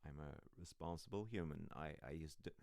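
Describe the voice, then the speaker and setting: A man's voice: very deep voice, a little fast, darkish tone, no room sound, studio quality. A man playing a loving, sassy, sheepish husband in an intimate ASMR roleplay, rambling to a sleepy partner with teasing, hesitant asides.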